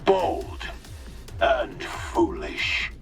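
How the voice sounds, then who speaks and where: Gravelly, slow, menacing cyborg villain. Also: male voice, lowish voice, wildly swooping pitch, far from the mic, music in the background, exaggerated voice. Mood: menacing taunts. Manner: taunting, contemptuous, slow and deliberate. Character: menacing hulking cyborg villain, male, a living nightmare. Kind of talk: video game voice acting.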